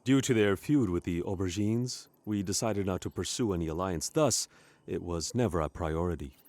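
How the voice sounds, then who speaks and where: A man's voice: low-pitched, normal speed, darkish tone, dry recording, close to an everyday voice. A man playing a brash, self-important spaceship captain in a comedic sci-fi play, theatrical, commanding and easily exasperated. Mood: dismissive.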